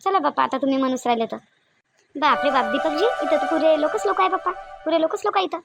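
A woman's voice: sits high, fast, loud music behind the voice. A woman voicing a shy, naive young newlywed wife in a cartoon, fretful and exclaiming, with a Varhadi Marathi accent from Vidarbha. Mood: bashful worry. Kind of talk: cartoon dialogue acting in colloquial dialect.

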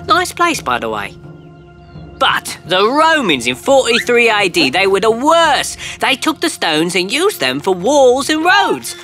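A chatty cartoon alien. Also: female voice, fairly high pitch, full character voice. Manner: quick, excitable, talkative. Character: friendly green alien, hurried and chatty. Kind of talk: cartoon voice acting.

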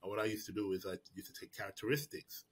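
Guy in his 50s on a video call, low raspy voice, chatty q&a, lots of restarts. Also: fairly even intonation, fast talker. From Jamaica. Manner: reflective, frequent restarts and repeated words. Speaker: prize-winning writer answering questions. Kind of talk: casual Q&A answers on a video call. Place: small room indoors. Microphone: webcam or laptop mic on a video call.

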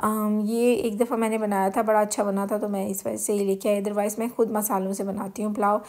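A woman's voice: mid-range voice, level tone, fairly quick, darkish tone. A woman with a Pakistani accent giving a casual, chatty home-vlog voice-over, explaining things and mixing in English words. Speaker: home vlogger. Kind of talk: casual grocery haul voice-over.

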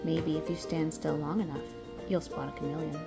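A woman hosting a travel vlog, reading scripted narration in a measured, informative way. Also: low voice, little pitch movement, vocal fry. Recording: loud music under the voice. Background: music.

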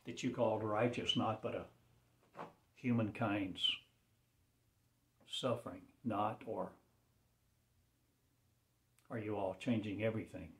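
A man speaks in a slow, deliberate, somewhat low voice, delivering solemn, halting declarations in short fragments. He often lets phrases sink to a hush, close to a whisper, as if preaching to himself. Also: steady tone. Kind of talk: rambling spiritual proclamation.

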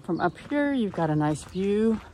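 A woman's voice: mid-range voice, sing-song, normal speed, dark tone, slight background noise, rough recording. A woman narrating a walking tour outdoors, chatting casually and pointing out the sights as she films. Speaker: tour guide. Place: outdoors on old town streets.

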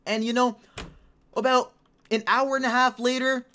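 A woman in her late teens hosting casual gameplay commentary, chatty and excitable, rambling between topics, her voice a little hoarse. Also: fairly high pitch, normal speed. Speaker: gaming channel host.

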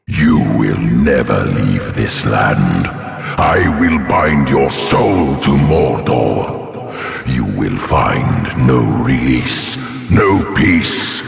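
An actor playing a menacing, wrathful immortal dark lord in a fantasy game, with a gravelly, booming voice, imperious threatening delivery and a monstrous reverberant effect. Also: male voice, heavily acted. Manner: slow, imperious, threatening declamation. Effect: deep monstrous reverberant voice processing. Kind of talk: theatrical fantasy game villain acting. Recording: long cavernous reverb, echoing.

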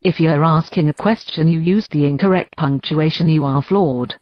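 A female chatbot voice from text-to-speech, reading its replies in a flat synthetic delivery. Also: low-pitched, moderate pace, no room sound, dull audio.

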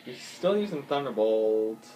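A man's voice: mid-range voice, animated, measured pace, dark tone, rough recording. A man giving casual, swearing gameplay commentary, thinking aloud with lots of ums as he plays. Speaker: gaming YouTuber. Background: faint game music.

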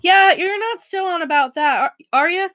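A man's voice: very high voice, normal speed, bright, forceful tone, no room sound, muffled recording. A woman voicing a big, proud, bumbling half-giant gamekeeper in a storybook read-aloud, blustering in rambling bursts. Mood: gruff, chatty.

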